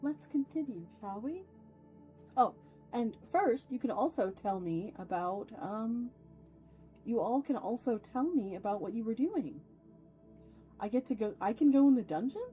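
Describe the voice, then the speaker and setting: A woman's voice: fairly high pitch, expressive, unhurried, mellow, faint music behind. A woman hosting a casual livestream, chatting with viewers in an effusive, playful way with theatrical turns of phrase. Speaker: VTuber livestream host.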